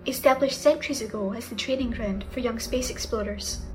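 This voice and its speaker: A woman's voice: on the high side, a little fast, soft background music, natural voice, rough recording. A woman playing an earnest young space cadet, narrating a dramatic science fiction trailer. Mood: solemn, earnest.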